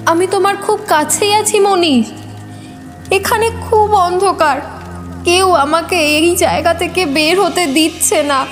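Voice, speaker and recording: A woman's voice: extremely high pitch, unhurried, soft background music. A woman voicing a trapped ghost wife who pleads desperately to be saved. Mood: pleading. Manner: pleading, plaintive. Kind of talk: audio drama acting.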